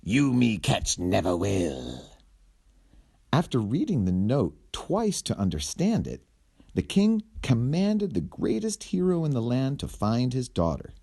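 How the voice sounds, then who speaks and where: Animated male storyteller with wide-swinging pitch. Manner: theatrical, deliberate phrasing. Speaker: storyteller reading a picture book aloud. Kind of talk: animated children's storybook narration.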